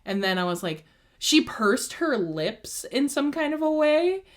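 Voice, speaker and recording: A woman's voice: high voice, big pitch swings, measured pace. A young woman co-hosting a casual chat, rambling enthusiastically with lots of "like". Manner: gushing, rambling, heavy use of "like". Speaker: co-host.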